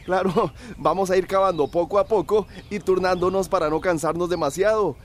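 An actor playing a knowledgeable village well builder in a radio drama, explaining methodically. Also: male voice, sits high, fairly even intonation, fairly quick, natural voice. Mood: instructive.

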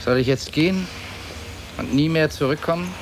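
A man's voice: fairly high pitch, moderate pace, a little hiss or hum, lightly acted. A man playing an exasperated, stubborn lover on his way out in a radio drama, speaking tersely and insistently. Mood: defiant. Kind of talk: German radio drama acting.

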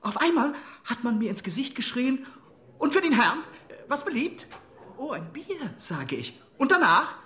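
A man's voice: very high-pitched, big pitch swings, unhurried, pushed and bright, echoey room, exaggerated voice. An actor playing a timid, flustered man who apologizes anxiously and at rambling length in a radio play. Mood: flustered, pleading. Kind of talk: German radio play acting.

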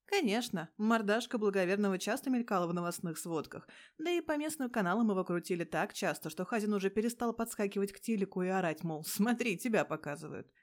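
A woman narrates fan fiction in a mid-pitched voice. Her reading is expressive and scripted, with a sardonic, streetwise edge and plenty of crude slang. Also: quick pace, few pauses. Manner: sardonic, crude slangy storytelling with frequent swearing.

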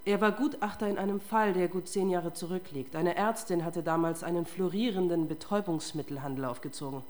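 Woman acting a female police detective, lowish voice, relentless, sardonic, accusing, radio crime drama. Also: fairly quick, a little mellow, lightly acted.

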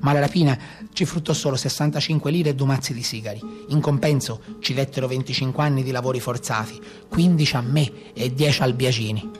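A man with a Tuscan accent playing a rough peasant brigand of the Maremma in a theatrical storytelling monologue. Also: medium pitch, fairly quick, close to an everyday voice. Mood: matter-of-fact. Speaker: stage actor. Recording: live guitar music behind.

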